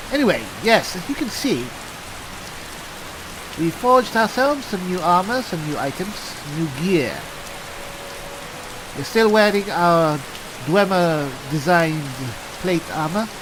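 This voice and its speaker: A man voicing a genial, chatty armored cleric adventurer in game roleplay, musing and conversational. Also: fairly high pitch. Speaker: gamer roleplaying his character. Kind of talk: in-character game roleplay narration. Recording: hissy, rain noise throughout. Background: falling rain.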